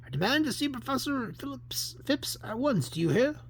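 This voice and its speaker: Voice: mid-range voice, moderate pace, vocal fry, slight background noise, close to an everyday voice. A reader voicing a blustering, boastful inventor in a comic book story, pompous and accusing. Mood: indignant.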